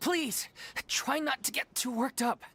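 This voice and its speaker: A woman's voice: high-pitched, a little slow, noisy background. A woman voicing a level-headed, conciliatory peacemaker in a video game. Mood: pleading.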